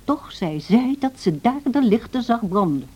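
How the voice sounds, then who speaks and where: A woman's voice: on the high side, expressive, normal speed, darkish tone, a little hiss or hum, natural voice. An actress playing a protective, practical female servant who tells a story earnestly in a radio drama. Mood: grave, narrating. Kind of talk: radio drama narration and acting.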